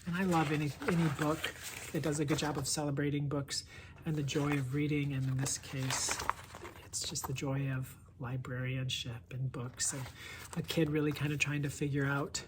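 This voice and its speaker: Low-pitched woman gushing about books, noisy recording. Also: little pitch movement, moderate pace. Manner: gushing, effusive, conversational. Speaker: book reviewer and presenter. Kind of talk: casual, enthusiastic book review to camera.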